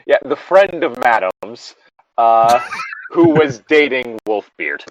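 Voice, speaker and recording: A man's voice: mid-range voice, lively intonation, slow pace, dry recording, rough recording. A man co-hosting a story reading show, switching between reading aloud and casual, sardonic, rambling commentary with some swearing.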